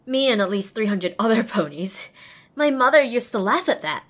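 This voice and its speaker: A woman's voice: on the high side, normal speed. A young woman voicing an earnest, self-deprecating young female pony apprentice, narrating an audio drama expressively and wryly. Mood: awed, excited. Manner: expressive, wry. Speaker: lead and first-person narrator.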